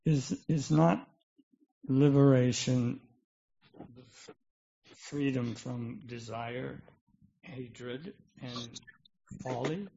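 A man reading the part of an earnest, puzzled Buddhist monk in a scripture drama, with halting, formal phrasing. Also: medium pitch, gravelly, warm tone. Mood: hesitant, humbled. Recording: dull, lo-fi recording.

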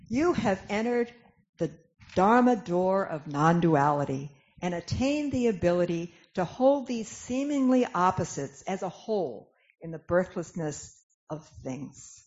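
A woman's voice: mid-range voice, animated, measured pace, a little mellow. A woman narrator giving formal scripted narration and announcements for a dramatic reading. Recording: dull lo-fi sound, clear background noise.

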